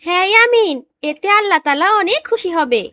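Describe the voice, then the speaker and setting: A woman plays a pious, kindly young aunt in a cartoon. Her voice is extremely high and sharp, with a homely Bangladeshi accent. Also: animated, a little fast, no room sound, clean studio sound, exaggerated voice. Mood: approving. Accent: colloquial Bangladeshi. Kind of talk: Bengali cartoon dubbing.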